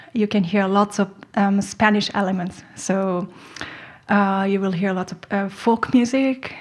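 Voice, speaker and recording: Young woman, soft-spoken, hesitant, shy laughs, medium pitch. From Korea. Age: late 20s. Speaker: guest cellist. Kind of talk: radio interview answers. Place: radio performance hall studio.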